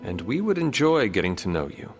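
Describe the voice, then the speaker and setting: A reserved warrior hero from a video game in a lowish voice. He is solemn and courteous, choosing formal, measured words with earnest weight. Music plays underneath. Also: sing-song. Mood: earnest, reassuring. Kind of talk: video game cutscene acting.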